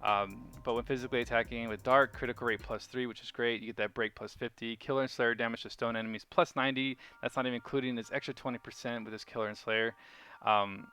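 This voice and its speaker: A man's voice: mid-range voice, fairly even intonation, quick pace, pushed and bright, clear, resonant tone. A man giving casual gameplay commentary as a video host, informal and rambling as he thinks aloud. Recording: music behind the voice. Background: music.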